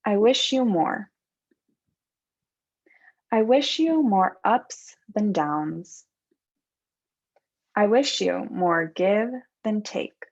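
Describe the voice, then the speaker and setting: A woman volunteer reading a picture book aloud in measured phrases over a muffled video call. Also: mid-range voice, animated, a little mellow. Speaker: volunteer storybook reader.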